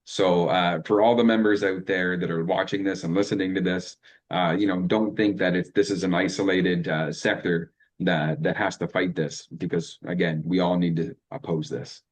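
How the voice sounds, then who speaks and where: A Canadian man co-hosting a podcast, talking in a relaxed, loose way. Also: sits low, normal speed. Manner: relaxed, loose with fillers and asides. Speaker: podcast co-host.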